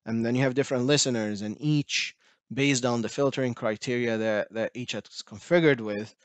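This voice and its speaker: A man's voice: mid-range voice, fairly even intonation, moderate pace, no room sound. A man giving a technical talk over slides, explaining step by step with frequent "uh" fillers. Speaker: presenter.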